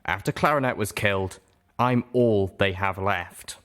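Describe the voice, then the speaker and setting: A man's voice: fairly low pitch, a little slow, a bit bright, smooth. A man voicing a proud unicorn stallion shopkeeper and father in an audiobook, firm, scornful and unashamed. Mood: defiant.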